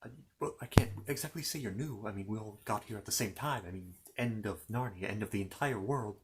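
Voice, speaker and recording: A man's voice: on the low side, very noisy, close to an everyday voice. A man playing a polite, bumbling, bookish fantasy knight in an ASMR roleplay, stammering and apologetic as he chats. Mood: friendly, sheepish. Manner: halting, stammering, apologetic, chatty. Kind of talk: improvised ASMR character roleplay.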